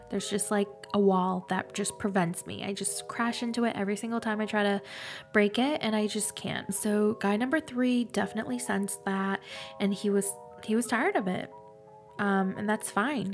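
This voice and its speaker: A young adult woman with a nasal, congested voice hosting a casual, confessional solo podcast, speaking candidly and informally. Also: mid-range voice. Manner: candid, chatty, informal with swearing. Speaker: podcast host.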